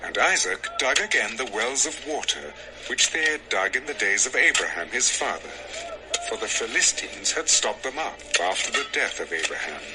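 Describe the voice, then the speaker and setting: Male narrator, scripted scripture storytelling, animated pitch, measured, expressive, music behind. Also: poor audio.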